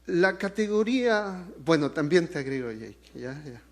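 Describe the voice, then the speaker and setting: Slow, emphatic Chilean preacher, man. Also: on the high side, expressive. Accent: Chilean Spanish. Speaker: pastor. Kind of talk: sermon.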